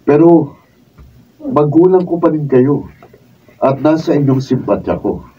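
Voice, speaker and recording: A man's voice: on the high side, animated, measured pace, mellow, breathy edge, highs cut off. A man playing a frank, defensive grown son in a radio drama, pleading, with a Filipino accent. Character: defensive, frank adult younger son, exasperated but loyal. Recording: distant, thin, noisy room recording.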